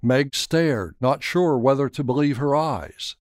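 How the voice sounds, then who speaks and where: A man narrating a scripted story in a measured, dramatic storytelling manner. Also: medium pitch, dry recording, studio quality. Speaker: author and narrator.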